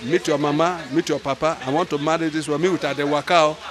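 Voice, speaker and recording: A man's voice: on the high side, steady tone, quick pace, crowd noise. An older man with a Nigerian accent, answering a street interview in a rambling way with pidgin phrases, into a handheld mic outdoors. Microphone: handheld mic held by interviewer.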